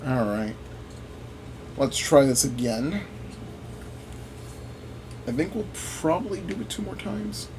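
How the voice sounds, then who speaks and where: A man's voice: fairly high pitch, sing-song, takes it slowly, long pauses. Man rambling over gameplay, noisy background. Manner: rambling, thinking aloud. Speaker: gaming video host. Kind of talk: casual gameplay commentary.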